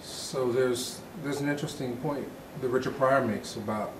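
A man's voice: mid-range voice, moderate pace. A man working as an interviewer, asking unscripted questions in a hesitant, tentative way and rephrasing as he goes. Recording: echoey, distant mic, noisy background.

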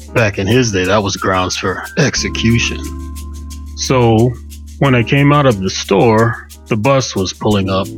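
A man telling a first-person story in a wry, colloquial, measured way, as on a radio show. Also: on the low side. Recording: music under the voice. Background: music bed.

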